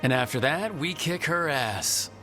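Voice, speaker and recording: A man playing a steady, encouraging adventurer in a video game, speaking plainly. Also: on the high side, a little slow, music in the background. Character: steady, plain-spoken male adventurer leading companions.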